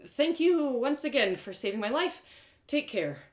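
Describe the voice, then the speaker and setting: A woman's voice: high-pitched, big pitch swings, measured pace, voice pushed up. A woman voicing a kind-hearted, plucky young female adventurer with an earnest, flustered, stammering manner, in a storybook reading. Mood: pleading. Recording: roomy echoing sound.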